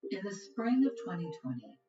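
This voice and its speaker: A woman reading scripted documentary narration in a measured, even way. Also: mid-range voice. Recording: roomy, distant, dull audio with music.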